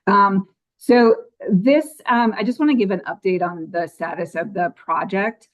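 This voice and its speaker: A woman's voice: medium pitch, unhurried. A woman on a commission, her voice a little scratchy, giving a grant and project update with many ums at a public meeting. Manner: frequent ums, apologetic. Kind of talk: meeting report.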